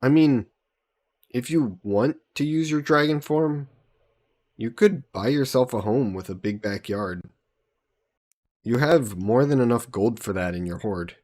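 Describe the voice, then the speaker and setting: A man voicing an easygoing, teasing young adventurer hero in an intimate fantasy roleplay audio drama, casual and gently coaxing. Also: mid-range voice, a little slow, pauses often, lightly acted. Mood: persuading, casual. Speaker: voice actor.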